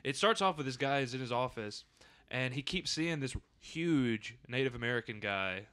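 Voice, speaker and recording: A man's voice: mid-range voice, animated, normal speed, sharp tone. A man speaking as a podcast guest, telling things in a loose, conversational way. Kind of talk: casual storytelling chat.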